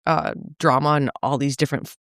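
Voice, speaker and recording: A woman's voice: very deep voice, steady tone, fast, fairly bright tone, dry recording, studio quality. A woman from Salem, Massachusetts, a reality show cast member speaking frankly as a podcast guest, with rambling sentences full of fillers and casual swearing. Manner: frank, run-on talk with frequent fillers and mild swearing. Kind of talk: candid conversational interview.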